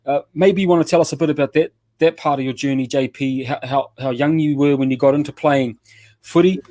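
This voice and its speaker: A man's voice: on the high side, a little fast, no echo. Upbeat Kiwi man hosting a radio chat. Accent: New Zealand. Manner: upbeat, relaxed slang, frequent restarts. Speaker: show host.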